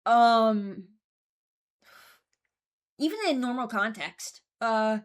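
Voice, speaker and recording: A woman's voice: fairly high pitch, drawn-out words. Female YouTuber for casual ranking commentary; frank, rambling, hesitant, mock-serious. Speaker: YouTuber ranking game voice lines.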